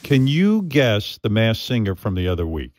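A man's voice: fairly low pitch, big pitch swings, moderate pace, darkish tone, no echo, clean studio sound. A man, hosting a radio show and teasing and provoking his staff. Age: 60s. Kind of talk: studio radio banter. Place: radio studio. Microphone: studio mic with headphones.

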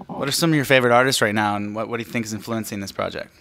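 Young man, slightly low pitch, music show interviewer, casual conversational questions. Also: very fast, vocal fry, fairly bright tone. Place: indoor music studio. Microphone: stand microphone.

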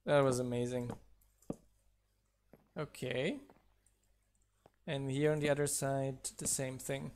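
Slow, easygoing male game streamer. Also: medium pitch. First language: not English. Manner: slow, relaxed, counts blocks aloud, laughs at himself. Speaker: mod developer streaming gameplay. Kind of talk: casual live-stream commentary while building.

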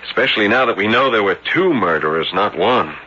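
A man's voice: lowish voice, animated, normal speed, sharp tone, slightly rough, full character voice. An actor playing an earnest, hesitant young policeman in love in an old radio mystery. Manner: hesitant, pleading, easily amazed. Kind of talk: golden-age radio mystery acting. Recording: dull, noisy old radio recording.

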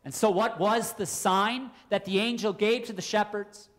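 Casting: male preacher, forties, clear teaching sermon delivery, emphatic, posing questions to listeners. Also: high-pitched, fairly even intonation, fairly bright tone. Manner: deliberate, emphatic, explanatory. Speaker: Lutheran pastor. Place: church, at a pulpit indoors.

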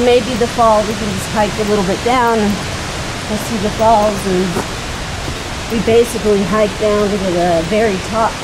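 A woman chatting casually as she hikes, recorded outdoors on a handheld camera. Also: mid-range voice. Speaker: travel vlogger. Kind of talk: casual chat while hiking. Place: outdoors on a forest trail. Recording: loud rushing-water hiss outdoors.

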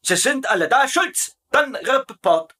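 A man's voice: extremely high pitch, expressive, measured pace, pushed and bright, pitched above the performer's own voice, exaggerated voice. A man doing a mocking impression of an irascible, barking, sneering German camp commandant in a comedy dub. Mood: irate.

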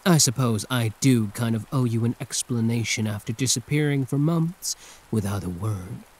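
Guy doing possessive villain who used to be a hero, asmr roleplay, mid pitch, drawn-out condescending but protective. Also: darkish tone, husky, lightly acted. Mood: earnest, confiding. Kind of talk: intimate ASMR roleplay monologue.

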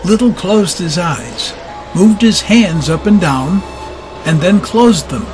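A man with a high-pitched voice reads scripted story narration. He tells the tale as an audiobook narrator, with prominent music playing behind him. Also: expressive, slow pace.

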